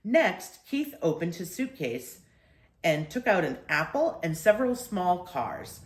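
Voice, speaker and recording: Expressive older woman reading in an echoey room. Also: lowish voice, a little slow. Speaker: storyteller reading to grandchildren. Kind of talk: expressive children's book reading.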